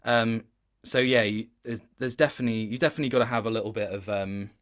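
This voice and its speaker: A man's voice: lowish voice, steady tone, fast, fairly bright tone. Reflective man explaining his acting work. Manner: reflective, explanatory, stop-start phrasing. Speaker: guest voice actor. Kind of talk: interview chat about performance work.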